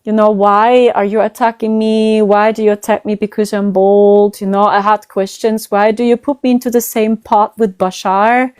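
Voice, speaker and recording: Woman, German accent, smooth clear voice, dry close sound, casual rambling chat, lots of "you know". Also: mid-range voice, flat delivery, normal speed, hardly any pauses, darkish tone, dry recording. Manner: rambling, repeats herself, frequent "you know". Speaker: psychic medium host. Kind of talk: casual conversation.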